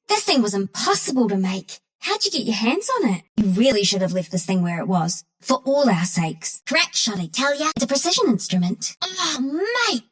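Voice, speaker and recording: High, cheeky Antipodean woodland critter bandit. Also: female voice, a bit bright. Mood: menacing. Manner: cocky, slangy, mischievous. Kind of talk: video game character voice lines.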